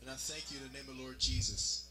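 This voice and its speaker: A man's voice: medium pitch, fairly even intonation, a little fast, a crowd in the background, poor audio. A man interpreting a sermon into English, repeating each phrase plainly with some hesitations. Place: church hall.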